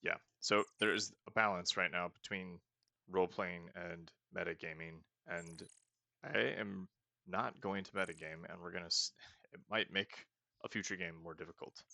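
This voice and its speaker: Deep gravelly man narrating his gameplay. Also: steady tone, moderate pace, pushed and bright, no echo. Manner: thinking aloud, chatty and self-mocking. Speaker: let's-play host. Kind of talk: casual gameplay commentary.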